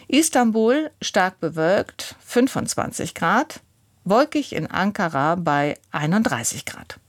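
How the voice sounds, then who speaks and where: A woman reading out a radio weather report in a measured, even listing manner. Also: fairly low pitch, no echo, clean studio sound. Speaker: newsreader.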